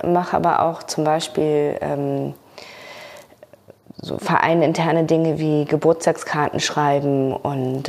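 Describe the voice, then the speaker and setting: A woman answering interview questions, pausing and searching for words. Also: sits low, darkish tone.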